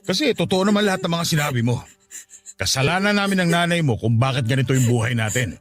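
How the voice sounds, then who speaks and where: A man playing a middle-aged father in a Filipino radio drama, humbled and apologetic, speaking gently and with contrition. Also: a little high, lively intonation, fast talker, close to an everyday voice. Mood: contrite. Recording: faint music under the voice.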